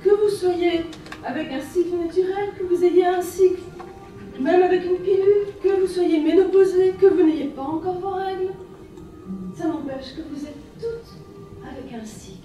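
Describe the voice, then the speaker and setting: A woman from France leading a workshop, speaking in a gentle, lyrical way with many repeated phrases, in a French accent. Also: extremely high pitch, steady tone, moderate pace. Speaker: workshop leader. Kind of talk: guided women's workshop talk. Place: workshop room at a festival. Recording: very echoey room, from far off, music behind.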